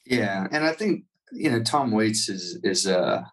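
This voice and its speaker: A man's voice: lowish voice, lively intonation, unhurried, distant mic, faint music behind. A man, a touring singer, talking casually in an interview with many fillers and restarts. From United States.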